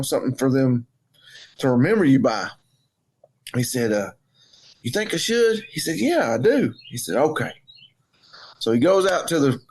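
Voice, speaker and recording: A man chatting casually as a podcast co-host, blunt and joking, with plenty of swearing. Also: fairly high pitch. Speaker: wrestling coach. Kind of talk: casual banter and storytelling.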